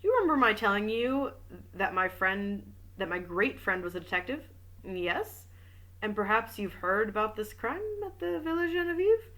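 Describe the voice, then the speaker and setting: Stiff, earnest young gent in a storybook reading, bright tone, played by a woman. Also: mid-range voice, sing-song, normal speed, pitched above the performer's own voice, low-quality recording.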